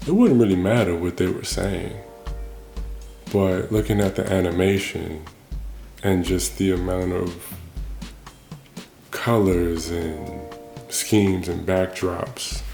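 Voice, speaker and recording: A man's voice: low-pitched, steady tone, slow, gravelly, pauses often, a little mellow, reverberant, faint music behind. A man giving a casual, reflective monologue in an informal, rambling way, repeating phrases for emphasis. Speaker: host of a personal talk show.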